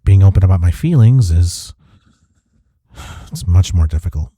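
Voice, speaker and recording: A man playing a teasing, tender office rival in an intimate romantic audio roleplay, speaking haltingly with breathy sighs and murmurs. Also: sits low, big pitch swings, mellow, dry recording, studio quality, heavily acted. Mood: tender, remorseful. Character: young male coworker rival confessing love, teasing and tender.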